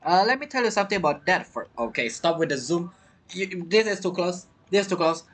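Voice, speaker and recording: A gaming streamer giving casual, halting live commentary and chattily talking back to game characters. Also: mid-range voice, expressive.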